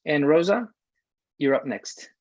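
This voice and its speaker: A young man from Greece, a PhD student, introducing himself in a relaxed way on a video call. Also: a little high, a little slow, dry recording. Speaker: PhD student and regional head. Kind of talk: casual panel introduction. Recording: dull dry video call audio.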